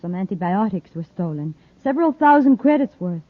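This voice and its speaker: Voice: medium pitch, sing-song, natural voice. A performer playing a worried, earnest space outpost official in an old radio adventure. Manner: earnest, hurried. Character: worried space outpost colleague reporting trouble. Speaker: radio drama actor. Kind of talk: mid-century radio adventure acting. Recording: thin, phone-like old radio recording.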